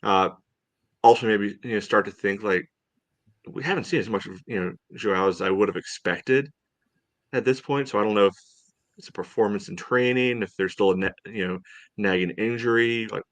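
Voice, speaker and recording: A man co-hosting a podcast, casually analysing soccer in a halting way, often saying "you know". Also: on the low side, dry recording. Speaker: podcast co-host.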